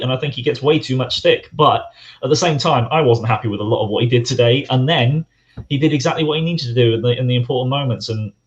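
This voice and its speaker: Brief: hoarse male guest, rambling casual chat, average pitch, muffled lo-fi call audio. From England. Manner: rambling, many fillers and restarts. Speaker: guest fan.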